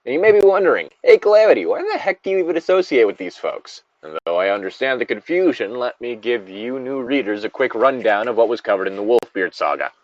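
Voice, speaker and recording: A man's voice: mid-range voice, big pitch swings, fast talker, few pauses, no echo. A man reading a story aloud in a casual style as a co-host, with bantering asides. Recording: dull lo-fi close recording.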